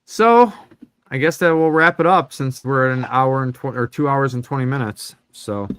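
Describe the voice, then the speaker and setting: A man co-hosting a casual podcast, talking through toy news in a relaxed, rambling, teasing way. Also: medium pitch, normal speed, clear, resonant tone, no echo, studio quality. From Chicago suburbs. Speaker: podcast co-host.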